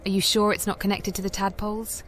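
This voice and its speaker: A woman's voice: medium pitch, little pitch movement, quick pace, fairly bright tone, slight background noise, lightly acted. A woman voicing a composed elven sorcerer in a video game, direct and inquisitive. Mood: questioning. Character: composed female elven sorcerer hero, questioning and firm.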